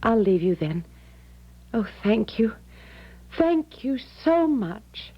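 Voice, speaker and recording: An actress playing a gentle, anxious, grateful female client in a 1970s radio drama, breathy, polite and effusive. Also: fairly high pitch, big pitch swings, slow pace, a little hiss or hum, exaggerated voice. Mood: grateful, hushed. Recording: dull 1970s radio broadcast recording.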